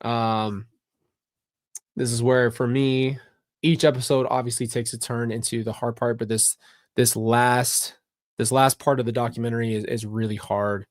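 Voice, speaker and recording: A man giving a personal, somber commentary on a livestream, speaking haltingly and often repeating phrases. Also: medium pitch, flat delivery, no room sound, studio quality. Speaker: documentary reaction host.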